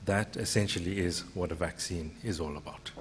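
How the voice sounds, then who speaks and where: A man's voice: sits low, brisk, breathy edge, big-room sound. A man, a doctor, explaining step by step to a crowd what a vaccine is.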